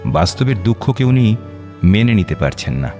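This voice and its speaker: Soft music sits behind a doctor in a radio drama. His voice is fairly low, and he speaks deliberately and reassuringly, pausing as he explains. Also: sing-song. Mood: grave, explanatory. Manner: deliberate, explanatory, with measured pauses. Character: measured, reassuring male doctor counselling a client. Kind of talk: Bengali radio drama acting.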